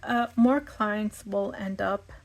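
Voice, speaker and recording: A woman with a slightly raspy voice, chatting casually and thinking aloud with lots of fillers as she plans her week. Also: mid-range voice, fairly even intonation, slow pace. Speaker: vlogger. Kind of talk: casual planning chat.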